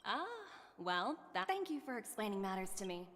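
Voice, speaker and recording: A woman voicing a bright, chatty young corporate envoy in a video game, sometimes flustered. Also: mid-range voice, sing-song, normal speed, a little hiss or hum. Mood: polite. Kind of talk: video game character dialogue. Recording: game audio with music and reverb.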